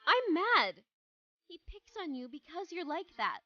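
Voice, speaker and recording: A woman's voice: extremely high pitch, very animated, measured pace, no room sound, highs cut off, heavily acted. A woman voicing a stern, serious teenage class monitor in an anime-style dub, blunt and commanding. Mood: annoyed. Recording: background music under voice.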